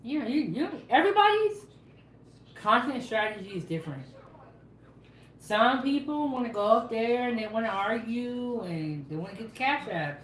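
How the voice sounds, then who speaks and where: A woman's voice: a little high, animated, normal speed. A woman co-hosting a live cooking stream, chatting casually, reading viewer comments and laughing often. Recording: echoey room.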